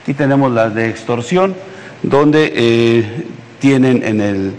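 A middle-aged man with a Mexican accent gives a formal briefing as an official, haltingly and with frequent hesitations. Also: medium pitch, darkish tone. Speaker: military official giving a security briefing. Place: large indoor hall at a press conference. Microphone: podium microphone. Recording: echoey hall with background noise.

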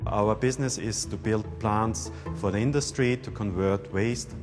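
Low-pitched English speaker, plain explainer, loud music behind. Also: male voice, unhurried. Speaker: industry interviewee. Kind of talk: documentary interview. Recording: loud music bed under the voice.

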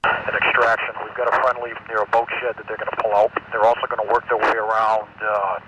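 Fast-talking policeman on noisy scanner radio. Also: on the low side, level tone. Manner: terse, procedural. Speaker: police officer relaying orders. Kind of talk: police radio dispatch talk.

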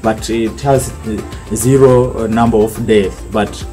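A man's voice: on the low side, fairly even intonation, slow, mellow, soft background music. A young man with a Kenyan accent giving an informal, explanatory guided tour, repeating phrases as he goes. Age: young adult. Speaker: snake park guide. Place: open-sided snake park shelter with mesh walls.

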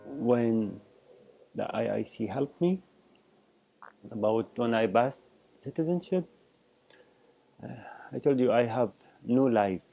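Slow, gravelly-voiced man, halting speech. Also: medium pitch, warm tone, low-quality recording. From Iraq. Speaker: interviewee. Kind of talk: personal testimony interview. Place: indoor office with bookshelves. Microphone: lapel mic.

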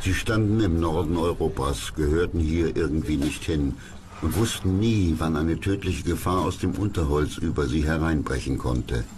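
Very deep-voiced male documentary narrator. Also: moderate pace, background noise.

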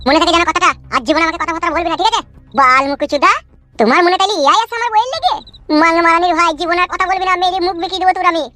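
A man's voice: very high-pitched, racing, bright, forceful tone, faint music behind. A man voicing a hot-headed, foul-mouthed young village man in a comic cartoon, in slangy rural West Bengal dialect. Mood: indignant, hurt. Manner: brash, slangy bickering.

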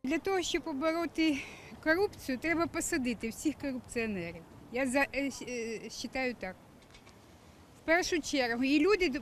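Woman on the street, high voice, keeps stumbling and umming, faint traffic. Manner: hesitant, many fillers. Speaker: passerby. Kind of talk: street vox pop.

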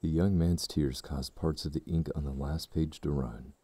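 A man narrates a full-cast audiobook with an extremely deep, dark voice. His reading is measured and somber, with a husky edge, recorded dry and close. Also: fairly even intonation, no echo.

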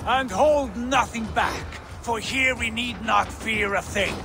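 A man's voice: very high-pitched, slow, full character voice. An actor playing an exultant Viking warrior in a video game, with a grand, declamatory way of savoring his words over music. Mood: battle-hungry. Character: exultant Viking warrior brother feasting in Valhalla. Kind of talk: dramatic video game acting.

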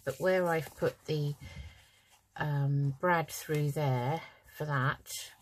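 A woman with a low, slightly croaky voice talks through a craft project. She is chatty and informal, thinking aloud as she works at her table. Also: a little mellow. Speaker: craft channel host. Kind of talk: casual craft tutorial, talking while working.

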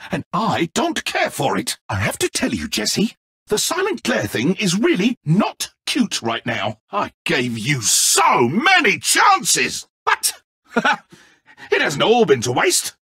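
An actor playing a petty, egotistical, godlike villain in a video game, smooth and theatrical, with condescending mock friendliness. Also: male voice, sits high, huge pitch swings, slow, bright, forceful tone, dry recording, heavily acted. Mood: petulant, condescending.

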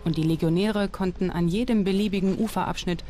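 A woman's voice: fairly low pitch, brisk, mellow, slight background noise. A woman reading scripted documentary narration as the narrator. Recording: music behind the voice. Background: background music.

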